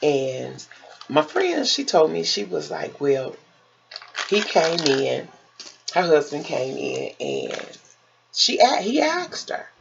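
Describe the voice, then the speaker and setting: A woman vlogger telling stories in a casual, animated style, emphatic and theatrical, often acting out conversations and throwing out rhetorical questions. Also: sits low, slow pace, gravelly, lo-fi sound, background noise.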